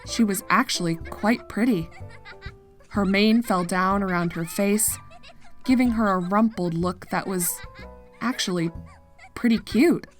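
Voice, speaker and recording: A woman's voice: medium pitch, measured pace, long pauses, soft background music. A woman narrating scripted fiction as a storyteller.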